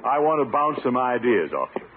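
Man acting a pompous, blustering commissioner, swooping pitch. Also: big pitch swings, normal speed, close to an everyday voice. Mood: confiding, uneasy. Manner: blustering, sputtering, exasperated. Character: pompous, flustered middle-aged male town commissioner. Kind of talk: 1950s radio situation comedy acting. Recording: tinny, noisy old radio recording.

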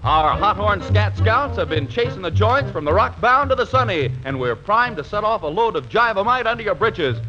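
A man with a high, animated voice announces a 1940s radio show in showy jive patter. The old recording is dull, with music playing clearly behind him. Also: normal speed, hardly any pauses. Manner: showy, rhyming slang patter.

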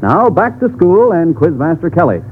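A man's voice: on the high side, big pitch swings, normal speed, breathy edge, narrow, tinny audio. An American man reading a scripted 1940s radio advertisement, earnest and persuasive. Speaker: commercial announcer. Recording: thin, band-limited vintage radio broadcast.

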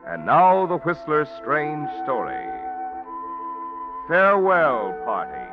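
A man with a booming, salesy announcer delivery introduces the program and reads the ad, his pitch swinging widely. The sound is a dull vintage radio recording with faint music beneath. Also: wildly swooping pitch, takes it slowly.

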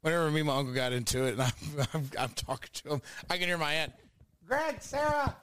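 A man's voice: on the high side, big pitch swings, a bit bright, clean tone, no room sound. A man with a Texan accent speaks in a slightly raised register, cutting in with wisecracks and short anecdotes. His delivery is loose and conversational. The sound is close and dry. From Texas. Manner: joking interjections, quick quips. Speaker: podcast co-host. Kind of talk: casual chat.

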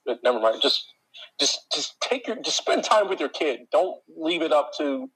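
A deep-voiced man telling a work story in an exasperated, ranting way, speaking directly to his listeners. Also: big pitch swings, normal speed, a bit bright, slightly rough, low-quality recording. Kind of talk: casual chat.

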